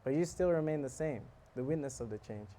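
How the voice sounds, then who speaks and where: A man giving a relaxed, joking talk on scripture to a live audience, often asking them questions. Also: mid-range voice, brisk. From South Africa. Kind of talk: casual sermon to a live audience.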